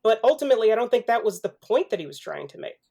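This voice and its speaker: A man's voice: sits high, sing-song, very fast, creaky, dark tone. A man in a casual, analytical film discussion on a podcast, thoughtfully qualifying his points as he goes. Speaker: panelist on a mock-trial film podcast.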